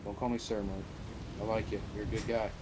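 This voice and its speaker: A man's voice: lowish voice, level tone, natural voice. Man playing a stern gang boss, noisy recording. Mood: stern, commanding. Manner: deliberate, lecturing, profane. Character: stern male boss of a survivor faction, commanding. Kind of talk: improvised online roleplay. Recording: noisy amateur online game voice chat.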